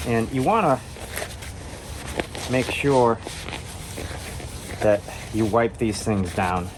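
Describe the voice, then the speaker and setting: A man's voice: medium pitch, drawn-out words. A man with a stuffy, sniffly voice from a cold, casually talking through a hands-on car repair tutorial in plain words, step by step. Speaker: DIY car repair host. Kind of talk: hands-on tutorial, casual talk while working. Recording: clear background noise, vehicle work ambience.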